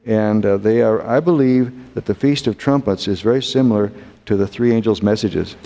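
American man, mellow low voice, preacher teaching a Bible lesson in a reverberant hall; unhurried, asks rhetorical questions. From United States. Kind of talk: teaching a Bible study class.

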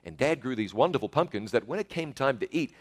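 A man's voice: medium pitch, animated, fast. Chatty New Zealand man reminiscing. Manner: folksy, chatty, addresses listener directly. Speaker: storyteller. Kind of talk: casual spoken reminiscence.

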